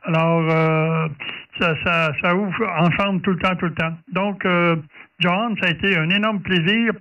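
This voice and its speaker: A man with a Quebec French accent talks casually over ham radio in a high, bright voice. He hesitates and repeats himself as he goes. The sound is dull and distant from the mic. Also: steady tone. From Quebec, Canada. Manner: hesitant, halting, repeats words. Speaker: amateur radio operator. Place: at his radio station.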